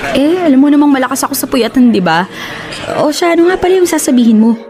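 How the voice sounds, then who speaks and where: A woman's voice: high voice, expressive, fast, warm tone, soft background music. A woman playing a coy, playful young woman in a Tagalog radio drama, chatting in a teasing, protesting way. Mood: light, friendly. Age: young adult.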